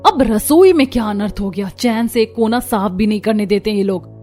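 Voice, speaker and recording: A woman's voice: medium pitch, normal speed, warm tone, soft background music, natural voice. A woman voicing an overworked young daughter-in-law in a cartoon story, exasperated and complaining. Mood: fed up. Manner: complaining, put-upon. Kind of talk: Hindi cartoon story voice acting.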